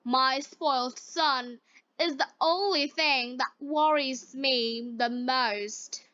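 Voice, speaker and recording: Woman reading as an anxious kindly father in a moral storybook: pleading, very slow, high, lo-fi sound. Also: pushed and bright, heavily acted. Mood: worried, pleading. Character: worried, kindly wealthy merchant father.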